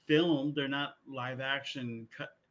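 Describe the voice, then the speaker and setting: A man's voice: medium pitch, steady tone, takes it slowly, a bit bright. A man co-hosting a podcast in a casual, conversational style. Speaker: podcast co-host. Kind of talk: casual chat.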